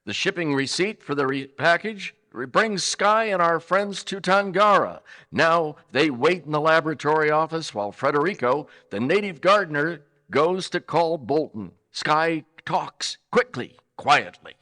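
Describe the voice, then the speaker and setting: A man's voice: fairly high pitch, animated, unhurried, few pauses. A man narrating dramatically as the announcer of an old-time radio adventure. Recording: loud music behind the announcer. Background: music.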